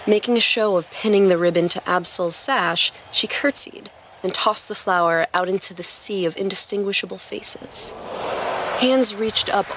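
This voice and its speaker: A woman's voice: mid-range voice, noisy background. A woman hosting a podcast and reading stories as scripted narration. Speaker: podcast host and story reader.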